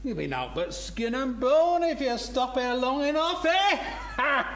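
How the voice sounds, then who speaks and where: Loud, jovial young Yorkshireman, blunt and teasing, swooping pitch, live-room echo. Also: big pitch swings, takes it slowly, heavily acted. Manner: hearty, teasing, broad dialect. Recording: roomy hall sound, faint noise.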